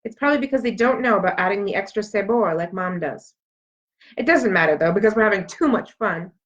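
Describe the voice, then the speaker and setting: A woman's voice: medium pitch, lively intonation, brisk. Woman reading a children's story, dull lo-fi recording. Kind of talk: expressive read-aloud narration of a children's story. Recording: dull, lo-fi sound.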